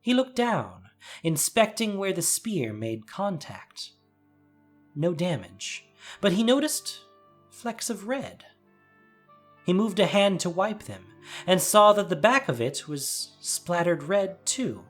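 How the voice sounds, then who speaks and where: A man reading a scripted fantasy story as narrator, with measured, deliberate, suspenseful phrasing. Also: high voice, very animated. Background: soft music.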